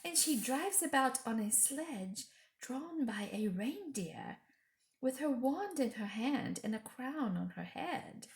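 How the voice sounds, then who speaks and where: A woman's voice: high-pitched, very animated, takes it slowly, pitched above the performer's own voice, heavily acted. A woman voicing an earnest, trusting young girl in a storybook, full of eager wonder. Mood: hushed, grave. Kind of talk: storybook character reading. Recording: echoey room.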